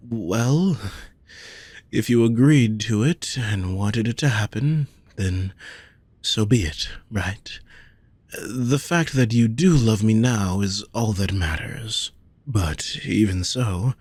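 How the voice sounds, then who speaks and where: A man playing a possessive, lovesick wealthy nobleman in a romantic audio roleplay, speaking formally and deliberately to the listener. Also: fairly low pitch, darkish tone, breathy edge, no room sound, full character voice. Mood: earnest, devoted. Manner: formal, measured, deliberate phrasing.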